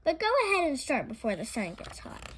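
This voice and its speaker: Casting: woman to play gracious picture-book householder handing out chores, fairly high and animated. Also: brisk, breathy edge, full character voice. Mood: busy, welcoming. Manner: polite, instructing. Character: gracious, busy lady of the house. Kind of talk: children's storybook character voice.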